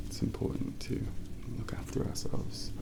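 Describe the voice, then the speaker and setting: A man speaking softly and breathily, often near a whisper, in a gentle, unhurried, reassuring ASMR role play as a late-night taxi driver. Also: noisy background. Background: rain and car sounds.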